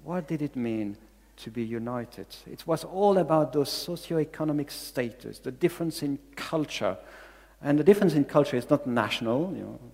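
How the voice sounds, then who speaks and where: A man's voice: fairly high pitch, animated, moderate pace, darkish tone, in a large hall. A man from Belgium with a Belgian accent, preaching a sermon in English as a second language, earnest and repeating key phrases for emphasis. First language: not English. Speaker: pastor.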